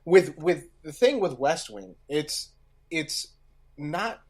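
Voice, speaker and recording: A man co-hosting a casual podcast discussion, speaking haltingly and often pausing in the middle of a phrase. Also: fairly high pitch, sing-song, husky. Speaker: podcast co-host.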